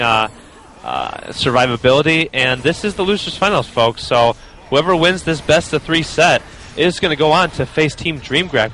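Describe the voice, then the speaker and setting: A young man co-casting a live game tournament, teasing his partner between fast play-by-play calls. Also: mid-range voice, bright, forceful tone, slight background noise, low-quality recording. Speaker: esports caster.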